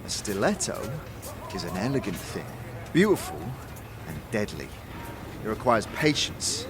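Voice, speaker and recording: A man voicing an easygoing young foundry craftsman in a fantasy audio drama, instructing in a measured, patient way. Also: fairly low pitch, sing-song, over music. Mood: patient, instructive.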